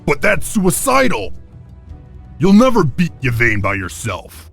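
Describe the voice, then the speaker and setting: A man acting a loyal, earnest clan warrior in a video game, gravelly and emphatic. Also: a little high, huge pitch swings, measured pace, fairly bright tone, faint music behind, heavily acted. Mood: urgent loyalty.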